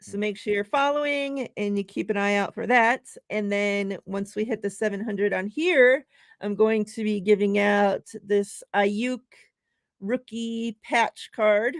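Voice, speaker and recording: A woman's voice: medium pitch, measured pace, no room sound, clean studio sound. A woman hosting a casual live-stream chat, laughing often and reading out giveaway numbers. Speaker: show host.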